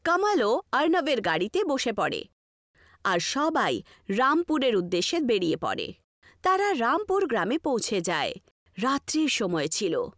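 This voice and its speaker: A woman's voice: sits high, a bit bright, no echo, studio quality. A woman reading scripted narration for a horror story as its storyteller.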